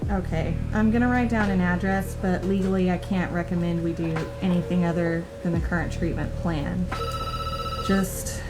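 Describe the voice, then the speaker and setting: A woman's voice: fairly low pitch, steady tone, normal speed, lightly acted. A woman acting a cautious, constrained doctor advising a patient in a film drama. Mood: cautious, serious. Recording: music behind the voice.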